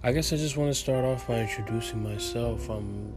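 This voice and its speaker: A man's voice: mid-range voice, brisk, music in the background. A man in his twenties from the Dominican Republic, with Spanish as his first language and a light accent, talking earnestly and a bit ramblingly as a podcast host, restarting his sentences. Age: 20s. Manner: earnest, rambling, motivational, with many fillers and restarts. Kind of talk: casual solo podcast monologue.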